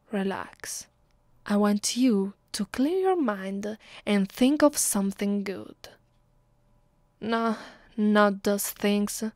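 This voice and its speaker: A woman acting out a haughty vampire mistress with an Italian accent, speaking slowly and deliberately. She is domineering and cruel, giving orders in an intimate ASMR roleplay style. The sound is dry and close. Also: mid-range voice, darkish tone, no echo. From Italy. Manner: commanding, deliberate. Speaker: voice actress. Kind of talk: ASMR roleplay, close and slow with whispered moments.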